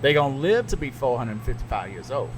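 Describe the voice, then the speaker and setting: A middle-aged man with a Southern US accent holds forth in easy podcast chat, his voice leaping higher whenever he gets emphatic. He speaks like the seasoned radio broadcaster he is. Music plays clearly underneath. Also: fairly quick. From American South. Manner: animated storyteller, jumps up in pitch when emphatic.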